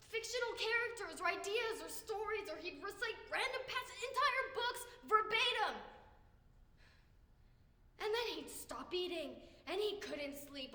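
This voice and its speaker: A woman performing a dramatic stage monologue as a grieving, exhausted, volatile daughter, speaking emotionally and haltingly and repeating herself, recorded from a distance in an echoey hall. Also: very high-pitched, pushed and bright, heavily acted.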